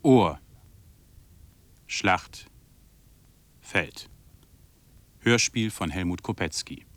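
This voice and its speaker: A man reading the titles of a radio play in a grave, deliberate manner. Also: deep voice, no room sound. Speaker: title announcer.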